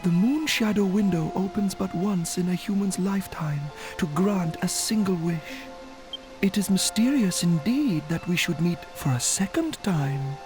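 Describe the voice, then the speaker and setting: An actor playing a serene, mysterious, ageless spirit in a video game cutscene, speaking solemnly and ceremoniously over music. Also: male voice, high-pitched, expressive, measured pace. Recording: loud game music behind the voice.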